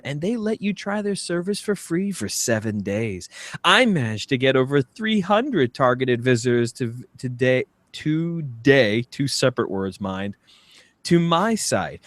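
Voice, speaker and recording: Guy hosting a comedy podcast, teasing and chatty, super expressive pitch, dry recording. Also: dry recording. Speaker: podcast host. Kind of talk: casual comedic banter and dramatic readings.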